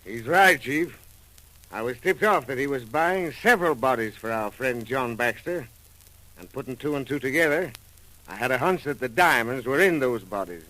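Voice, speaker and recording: A man's voice: mid-range voice, expressive, moderate pace, pushed and bright, poor audio. A man playing a keen, confident police detective in a 1940s radio crime drama. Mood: confident, pleased. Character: keen, confident male police detective reporting to his chief.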